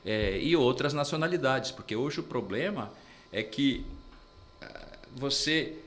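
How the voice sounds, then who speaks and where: A man's voice: mid-range voice, expressive, unhurried, fairly bright tone, a little hiss or hum. A man from Brazil, an interpreter and English mentor, explaining his views earnestly as an interview guest.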